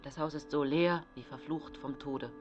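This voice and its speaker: A woman playing a grim male doctor in a radio play. The voice is low, grave and clipped, blunt about death, with clear music behind it. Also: steady tone, normal speed, a little mellow, highs cut off, close to an everyday voice. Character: grim, blunt male country doctor delivering bad news. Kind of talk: radio drama dialogue.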